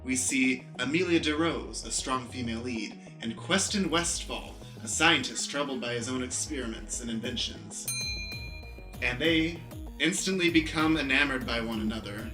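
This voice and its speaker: Male host for improv show: mid-pitched, presenter delivery with casual asides, roomy recording. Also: moderate pace, music in the background. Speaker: podcast host. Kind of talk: scripted-sounding announcing with casual asides.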